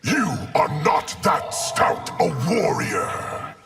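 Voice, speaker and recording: An actor voicing a grave, menacing undead construct of many souls, with a raspy growl and solemn, formal delivery for a fighting game. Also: male voice, fairly high pitch, very animated, extremely slow, over loud music, heavily acted. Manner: solemn, formal, speaks of himself as we. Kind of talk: video game fight dialogue.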